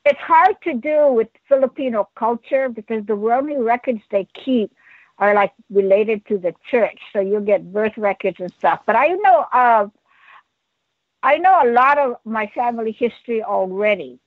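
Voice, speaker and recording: A woman from Hawaii calling into a radio show, speaking in an earnest, measured way in short phrases. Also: on the high side, no room sound, phone-quality sound. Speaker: caller. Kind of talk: call-in conversation. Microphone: phone or call-in line with earpiece.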